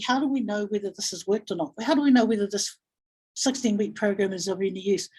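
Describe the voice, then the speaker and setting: Husky, reflective New woman. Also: medium pitch, darkish tone, rough recording. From Samoa or Samoan community in New Zealand. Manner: halting, thinking aloud, repeats phrases. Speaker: guest speaker, family violence practitioner. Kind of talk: reflective webinar talk, storytelling.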